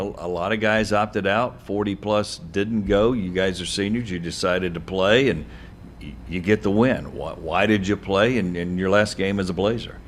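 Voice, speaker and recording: A man's voice: lowish voice, a little hiss or hum. A man hosting a sports podcast, talking and interviewing in a conversational way.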